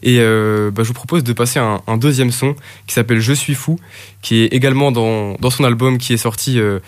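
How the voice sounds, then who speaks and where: A man's voice: medium pitch, steady tone, fairly quick, dry recording. A man hosting a live radio show, presenting casually, with frequent hesitations and fillers. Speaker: radio host.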